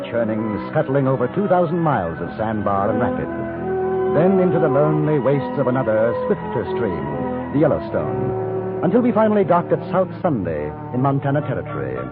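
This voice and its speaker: An actor playing a polite, educated English gentleman reporter, with an English accent and a dryly understated manner, in a 1950s radio western. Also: mid-range voice, lightly acted. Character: composed, educated English gentleman reporter turned frontier adventurer. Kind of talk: 1950s radio western acting and first-person narration. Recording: muffled old radio recording with music.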